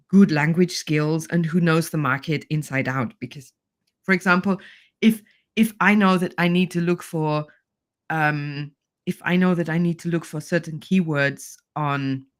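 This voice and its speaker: A woman's voice: low-pitched, moderate pace. Woman hosting a conversational expert interview. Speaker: podcast host, interviewer.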